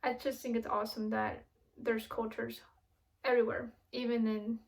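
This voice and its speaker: Young woman, earnest, flat-toned. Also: fairly high pitch, normal speed, gravelly. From grew up in Miami, born in Ecuador. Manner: earnest, with frequent restarts and "you know" fillers. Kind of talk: casual video presentation.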